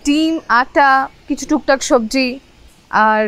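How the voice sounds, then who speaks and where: A high-voiced caring wife. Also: female voice, slow, fairly bright tone. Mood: caring, domestic. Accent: Bangladeshi. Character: caring, homely young wife. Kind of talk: natural TV drama acting.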